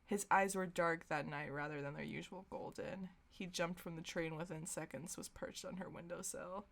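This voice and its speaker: A woman's voice: lowish voice, fairly even intonation, moderate pace. A woman hosting a podcast, chatting casually and reading fan fiction aloud, prone to breaking into giggles. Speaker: podcast host.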